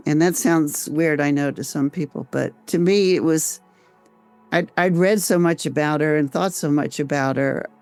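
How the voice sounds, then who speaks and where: Clear-voiced older American woman storyteller. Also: low-pitched, normal speed, darkish tone, soft background music. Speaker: interview guest, author and volunteer researcher. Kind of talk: conversational personal storytelling.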